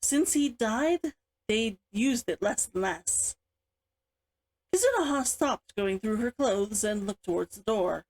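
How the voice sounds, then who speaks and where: High-pitched, animated woman hosting a read-along. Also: slow pace. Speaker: author and read-along host. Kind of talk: animated chat and story read-aloud.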